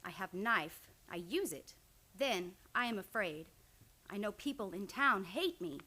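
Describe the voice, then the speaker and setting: A woman's voice: medium pitch, lively intonation, unhurried, pushed and bright. A woman playing a fiery, unafraid frontier wife who speaks blunt broken English in an old-time radio western. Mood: blunt, upset. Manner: simple broken English, blunt short phrases.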